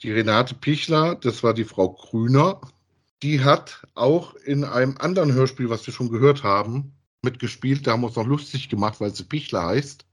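A man's voice: medium pitch, moderate pace, husky. A man, chatting casually as a podcast co-host about audio dramas.

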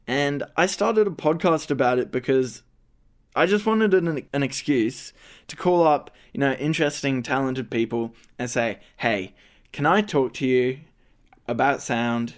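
A man's voice: medium pitch, unhurried. A young man, introducing his podcast in a hesitant way, with a slight stammer. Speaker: podcast host.

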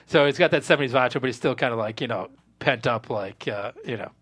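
A man speaking as a screenwriter guest in a casual panel chat, rambling and halting with repeated false starts. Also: medium pitch, fairly bright tone, husky.

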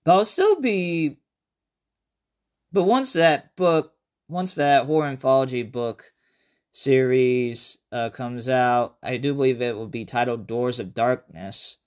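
A man hosting a horror podcast alone, talking casually and haltingly, often repeating and restarting his phrases. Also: medium pitch. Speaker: podcast host and amateur horror writer. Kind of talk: casual solo podcast talk.

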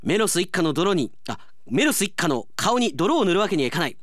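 Male radio announcer, chatty mail-reading style, very animated pitch, close dry sound. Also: brisk, no echo.

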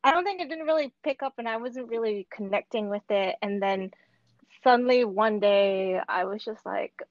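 A woman's voice: mid-range voice, moderate pace, no echo. Woman guest calling in from Hong Kong, rambling and chatty, dry dull line. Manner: chatty, many fillers and restarts. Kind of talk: casual remote interview.

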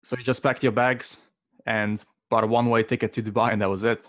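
Fast-talking male interview host. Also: medium pitch, little pitch movement, a little hiss or hum. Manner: conversational, asks follow-up questions. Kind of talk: casual interview.

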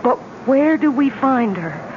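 A dull, slightly noisy vintage broadcast. a plucky young American wife, high-voiced and very animated, in 1970s radio drama manner. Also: female voice, unhurried. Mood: anxious. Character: plucky, game young American wife caught among hostages. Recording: muffled, noisy old radio broadcast recording.

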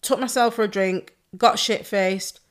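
A woman hosting her own podcast alone, hoarse and losing her voice, chatting casually, swearing freely and laughing often. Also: medium pitch, fairly even intonation, measured pace. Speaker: podcast host. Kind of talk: casual solo podcast chat.

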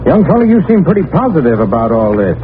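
An actor playing a cautious small-town lawman with measured authority in a 1940s radio crime drama. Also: a little high, sing-song. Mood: conversational. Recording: narrow-band 1940s radio recording, faint noise.

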